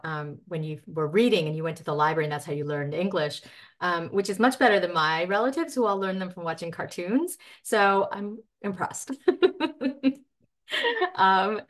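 A woman's voice: medium pitch, lively intonation, a little fast. A middle-aged woman, a librarian, moderating an author panel over a video call with encouraging questions. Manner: warm encouraging questions with soft "mm-hmm"s.

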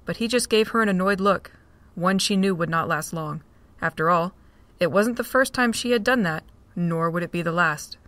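A woman narrating a fan audio drama with a steady storytelling read. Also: fairly low pitch, normal speed, dry recording. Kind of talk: scripted narration.

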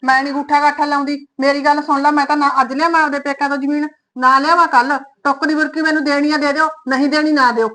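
A woman's voice: high voice, flat delivery, quick pace, hardly any pauses, bright, forceful tone, low-quality recording. A woman playing a stubborn older village mother who scolds her son and refuses to take land from her parents' home. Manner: scolding, emphatic. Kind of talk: Punjabi family drama acting.

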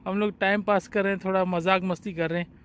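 A man in his forties vlogging to his phone camera on an outdoor train platform at night, in a chatty, relaxed way. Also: sits high, quick pace. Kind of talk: casual vlog narration. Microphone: phone at arm's length. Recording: faint outdoor background noise.

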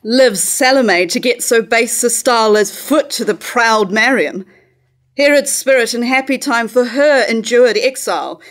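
A woman playing a proud, scornful, scheming royal sister in a staged reading of verse tragedy, declaiming in measured lines. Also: on the high side. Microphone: stand microphone at lectern.

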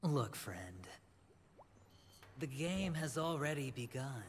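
An actor playing a theatrical male gambler in a game, speaking deliberately and invitingly with an ominous edge. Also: fairly high pitch, animated. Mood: menacingly inviting. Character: smooth, theatrical male gambler toying with his guest. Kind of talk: video game cutscene acting. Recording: game audio under loud music.

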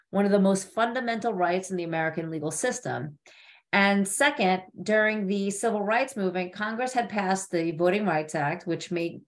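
A woman panelist giving a measured, formal presentation on a video call, with occasional hesitations. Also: fairly low pitch. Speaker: judge and panelist. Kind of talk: reading a legal presentation.

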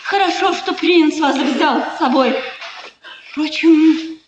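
High-voiced, proud countess. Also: female voice, highs cut off. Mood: agitated, demanding. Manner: declamatory, dramatic pauses, biting irony. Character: proud, spurned countess, imperious and bitter. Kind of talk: 1950s radio drama acting, theatrical. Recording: echoey, hissy 1950s radio recording.

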